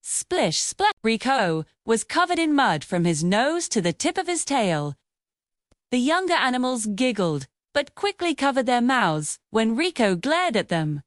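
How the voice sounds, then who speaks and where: Woman, children's storyteller, scripted narration, highly animated intonation, slightly high pitch, dry studio-like sound. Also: a little slow, no echo.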